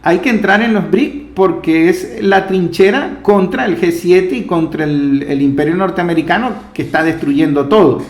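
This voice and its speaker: A man with a notably high voice and a Venezuelan Spanish accent speaks as a political interviewer. He reasons at length in a discursive, argumentative way, and the room echoes around him. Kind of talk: reflective political interview commentary. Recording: roomy echo.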